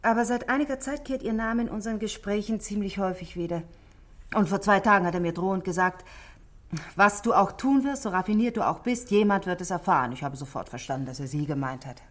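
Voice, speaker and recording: A woman's voice: mid-range voice, animated, fast, natural voice. An actress in a 1960s German radio play, playing a composed, middle-aged married woman who speaks politely and articulately, confiding her worries. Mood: earnest, worried.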